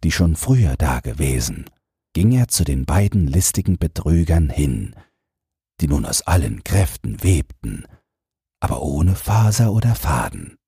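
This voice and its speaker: A man narrates a scripted bedtime fairy tale in a deep voice. He reads very slowly with a strongly husky tone, recorded close and dry. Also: big pitch swings, warm tone, dry recording. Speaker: audiobook narrator.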